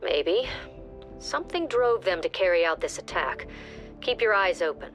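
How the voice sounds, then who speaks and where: An actress playing a composed female commander briefing her squad in a calm, measured way, with music behind. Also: fairly low pitch. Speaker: mission briefing officer. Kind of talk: video game voice acting.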